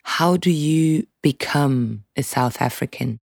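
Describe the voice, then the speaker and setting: A woman interviewer asks her questions slowly and calmly. Her voice is very deep, dark and gravelly, recorded close and dry. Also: no echo.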